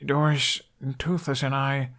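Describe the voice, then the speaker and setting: A man's voice: medium pitch, steady tone, a little slow, a bit bright, voice pushed up. Man acting young fantasy hero, audiobook, sarcastic, grumpy, cheeky, dry delivery. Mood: hesitant. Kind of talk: audiobook character voice.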